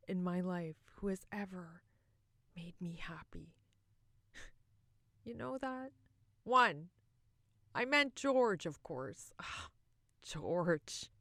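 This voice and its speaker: A woman performing a theatrical monologue as a bitter, boozy middle-aged wife, mocking and self-loathing, with dramatic pauses and swings between loud and hushed. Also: on the low side, no echo, studio quality, heavily acted. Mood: wry, scornful, then wistful, pained.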